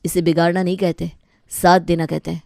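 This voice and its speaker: A woman's voice: lowish voice, level tone, a little fast, vocal fry, a little mellow, dry recording, studio quality. A woman voicing a fed-up young husband in a family story, speaking firmly and indignantly. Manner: indignant, firm. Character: fed-up, principled young husband defending his wife.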